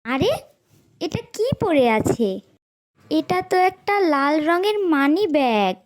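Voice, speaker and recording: A very high, slow-paced little girl played by a woman speaks in a children's moral cartoon. She is gentle, devout and honest, placing each phrase with polite, earnest care. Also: very animated, heavily acted. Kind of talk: Islamic moral cartoon voice acting.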